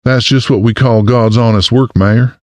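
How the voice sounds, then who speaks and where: A man's voice: medium pitch, steady tone, normal speed, a little mellow, no echo, pitched above the performer's own voice, close to an everyday voice. A male narrator voicing a cheerful young woman florist with a Southern US drawl, bubbly and folksy.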